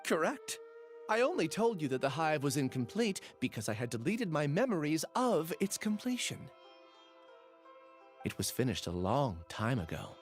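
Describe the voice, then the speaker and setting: Expressive, earnest young male scholar. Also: on the high side, normal speed, faint music behind. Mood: solemn, resigned. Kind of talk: dramatic game voice acting.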